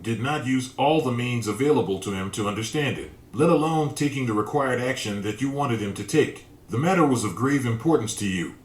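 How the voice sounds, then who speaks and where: Calm man narrating a religious reflection. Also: mid-range voice, reverberant.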